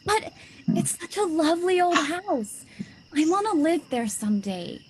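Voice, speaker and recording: Woman acting a sweet, high-voiced young girl. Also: big pitch swings, unhurried, heavily acted. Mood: wistful. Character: Mary, sweet, dreamy eighteen-year-old small-town girl. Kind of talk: old-time radio play acting. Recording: heavy background noise with clicks.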